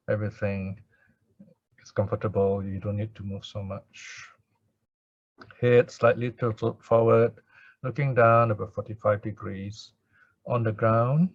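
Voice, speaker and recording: Man, Buddhist meditation teacher, very even tone, unhurried and gentle, dull lo-fi recording. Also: medium pitch, no room sound. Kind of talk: Pali chanting and guided meditation instruction.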